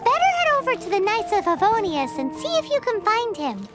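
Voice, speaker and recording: A little video game fairy sidekick with a very high, childlike squeak. Her delivery is chirpy, excitable and flustered, leaping around in pitch. Game music plays behind her. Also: brisk. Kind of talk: video game character acting.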